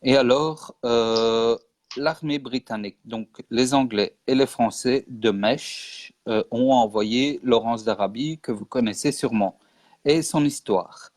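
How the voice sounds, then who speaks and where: Male guest, from Belgium, earnest, insistent, repeats points, medium pitch, dry sound. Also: measured pace, a little mellow, dry recording. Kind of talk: casual interview explaining history.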